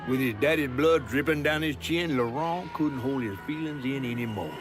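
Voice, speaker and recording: A man playing a folksy old talking catfish from the American South, who tells stories in a drawn-out, gravelly drawl. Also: medium pitch, close to an everyday voice. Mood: grave storytelling. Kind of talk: dramatic storybook narration and game dialogue. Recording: voice over music.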